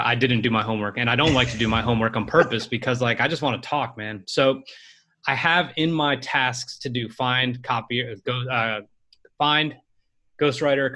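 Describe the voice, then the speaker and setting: Guy hosting a podcast, bright sharp voice, rambles into his questions. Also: medium pitch, moderate pace. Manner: laid-back, rambling questions, laughs easily. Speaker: podcast host. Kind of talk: casual interview.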